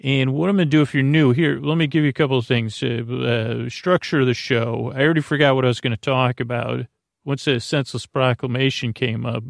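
Male podcast host for a sleep show: gravelly, croaky, wandering and halting delivery, close dry sound. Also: medium pitch, fairly even intonation, no echo. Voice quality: creaky, croaky. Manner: meandering, halting, repeats himself. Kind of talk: rambling bedtime sleep-podcast monologue.

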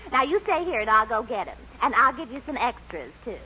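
A woman's voice: on the high side, sing-song, brisk, bright, forceful tone. A woman acting in a 1940s radio sitcom as an incredulous, no-nonsense mother, exclaiming expressively on an old recording. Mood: relieved, firm. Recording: dull vintage radio recording, faint hiss.

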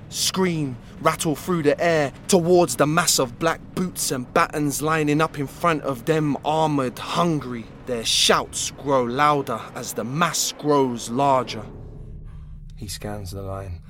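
Male narrator, slow and intense, hushes to whispers; faint ambience. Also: medium pitch, slight background noise. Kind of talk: scripted audio drama narration.

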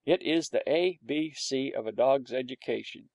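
Gravelly male storybook narrator. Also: mid-range voice, moderate pace, a little mellow, no room sound. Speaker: audiobook narrator. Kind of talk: storybook reading.

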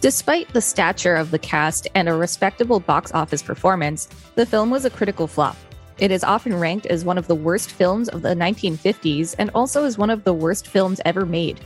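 A woman co-hosting a podcast, reading an article aloud in a plain, measured way. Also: lowish voice, soft background music. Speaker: podcast co-host.

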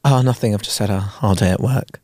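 A man's voice: fairly low pitch, fairly quick, mellow, no echo, studio quality, voice pushed down, natural voice. A man playing a weary, evasive wife in a hesitant, subdued way in an improvised drama scene.

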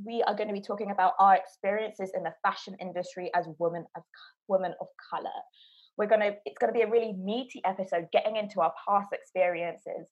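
A woman's voice: medium pitch, lo-fi sound. A woman hosting a podcast, introducing her guests with effusive enthusiasm. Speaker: podcast host. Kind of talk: casual podcast chat.

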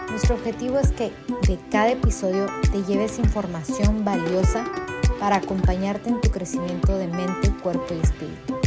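Woman reading a scripted intro over loud music. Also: medium pitch. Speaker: show intro announcer.

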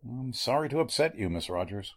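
An actor playing a sinister, courteous elderly doctor in a 1940s-style radio mystery, speaking formally and deliberately with a husky voice. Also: male voice, medium pitch, lively intonation, background noise, lightly acted. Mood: grave, courteous. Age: older. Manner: formal, deliberate, menacingly polite.

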